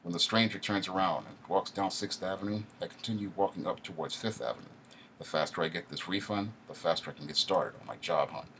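Deep-voiced man reading his novel. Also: brisk, a bit bright, slight background noise, poor audio. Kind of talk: audiobook reading, first-person narration.

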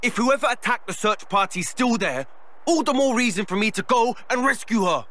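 A hot-headed young game hero, fiercely protective and impulsive. His voice sits high and swings widely, with a brash, urgent edge. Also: animated, moderate pace, sharp tone, dry recording, full character voice. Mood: urgent, defiant. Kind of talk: video game cutscene acting.

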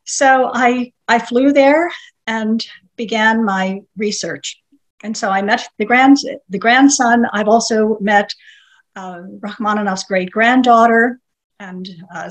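Older woman, Russian native speaker, unhurried lecturer with many pauses, speaking over a webcam. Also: mid-range voice, rough recording. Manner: measured, with frequent filler pauses. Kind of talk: online lecture. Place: home study with bookshelves. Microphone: webcam video call.

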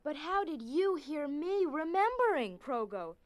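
A woman's voice: high-pitched, very animated, unhurried. A woman playing an earnest, stubborn, questioning young girl in an audio drama. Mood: puzzled. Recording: long hall reverb.

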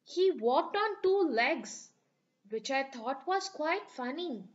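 A woman's voice: high-pitched, lively intonation, slow pace, dull audio. A woman reading a rhyming picture book aloud for children in a sing-song storytelling manner.

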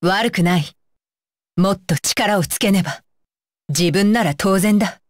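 A woman's voice: medium pitch, measured pace, no room sound, studio quality, natural voice. A woman voicing a stern, dutiful young female ninja bodyguard for an anime game, with clipped, commanding and blunt phrasing. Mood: firm, composed. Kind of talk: Japanese anime game voice lines.

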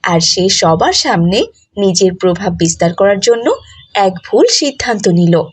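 A woman's voice: mid-range voice, animated, unhurried, breathy edge. A woman narrating a scripted moral story for children.

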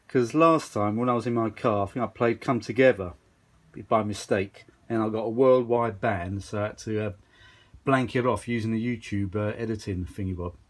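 A man chatting casually and off the cuff, rambling along with informal enthusiasm as he shows his gear. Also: fairly low pitch. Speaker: vintage audio enthusiast presenting his gear. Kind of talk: casual off-the-cuff chat, handheld demo. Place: carpeted room at home.

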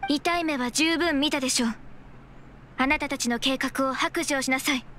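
A woman's voice: sits high, noisy background, close to an everyday voice. A woman playing a polite but stern young secretary in an anime-style game, speaking formally and firmly. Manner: formal, measured, firm. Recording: faint game music behind the voice.

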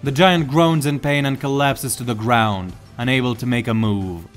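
A man's voice: medium pitch, steady tone, normal speed, faint music behind. Male narrator of a dramatic story.

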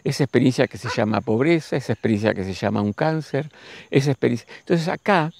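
A man, giving a slow, musing spiritual monologue and speaking straight to his listeners. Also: medium pitch, lively intonation, vocal fry, a little mellow. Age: 60s. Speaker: spiritual teacher.

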